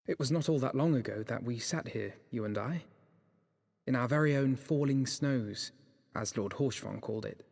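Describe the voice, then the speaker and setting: An actor playing a weary, reflective male adventurer in a video game, husky and sombre, with measured delivery. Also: mid-range voice, darkish tone, long reverb tail. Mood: wistful, sombre. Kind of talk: dramatic game voice acting.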